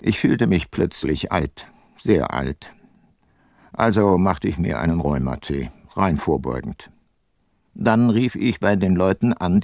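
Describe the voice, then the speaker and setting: An actor playing an ageing, weary private detective and former prosecutor, sober and reflective, in a radio play. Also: male voice, low-pitched, darkish tone, dry recording, studio quality, exaggerated voice. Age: older. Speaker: detective, narrator. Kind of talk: radio play narration and dialogue.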